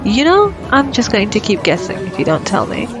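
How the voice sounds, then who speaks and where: A woman's voice: mid-range voice, very animated, brisk, over music, close to an everyday voice. A woman playing a cocky, flirtatious female vampire in an ASMR roleplay, sardonic and playful. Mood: teasing, bossy. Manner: sardonic, playful, casually swearing. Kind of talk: ASMR roleplay audio drama.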